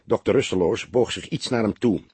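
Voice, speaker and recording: A man narrating a crime novel as an audiobook, in expressive scripted storytelling. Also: lowish voice, fairly quick, slightly rough, no room sound.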